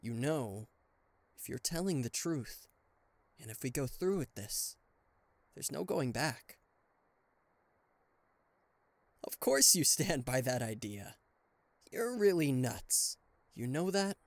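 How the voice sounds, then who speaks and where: A man playing a charismatic, flustered king of hell as a boyfriend in an ASMR roleplay audio drama, with a theatrical, halting delivery. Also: medium pitch, very animated, no room sound. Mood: tender, teasing. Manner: theatrical, hesitant stammering, exasperated sighs.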